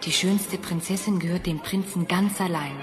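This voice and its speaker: A man's voice: sits high, steady tone, normal speed, natural voice. Man playing a courtly, adoring young prince. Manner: formal, declaimed. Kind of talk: German fairy-tale radio play acting. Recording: vinyl record transfer, faint music.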